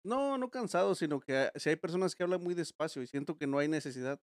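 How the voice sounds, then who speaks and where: A man from Mexico joking and teasing in a casual podcast chat, saying he talks fast. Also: a little high, animated, dry recording, studio quality. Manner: joking, quick-talking, playful teasing.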